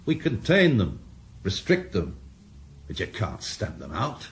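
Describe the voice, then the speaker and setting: An actor playing a blunt, cynical middle-aged police inspector in a radio drama. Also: male voice, lowish voice, wildly swooping pitch, unhurried. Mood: hard. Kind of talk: 1980s radio drama acting.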